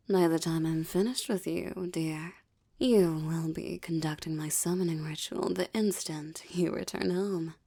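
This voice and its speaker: Seductive demoness for audio roleplay, acted by a woman: lowish, creaky, ornate diction, teasing and menacing, dry intimate sound. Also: dark tone, no echo, full character voice. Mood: commanding, seductive. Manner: slow, breathy, formal and ornate diction, teasing. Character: seductive, menacing adult female succubus demon, teasing and possessive. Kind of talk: intimate audio roleplay, ASMR-like monologue addressed to listener.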